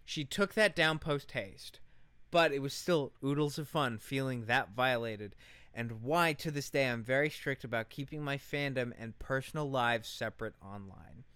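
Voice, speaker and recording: A man's voice: medium pitch, moderate pace, fairly bright tone, smooth, no room sound. A man co-hosting a podcast, reading aloud and chatting in an informal, sweary way. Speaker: podcast co-host. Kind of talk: casual podcast chat and reading aloud from a post.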